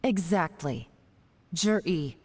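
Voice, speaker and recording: A woman's voice: lowish voice, lively intonation, drawn-out words, darkish tone, a little hiss or hum. A woman voicing a shrewd female investigator in a video game, cool, wry and confidently taunting. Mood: scornful. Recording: background music under the dialogue. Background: music.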